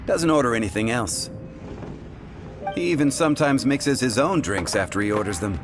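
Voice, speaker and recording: Tavern barkeep played by a man: mid-pitched, courteous, dry, fantasy game delivery over music. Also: close to an everyday voice. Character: courteous, matter-of-fact male tavern bartender.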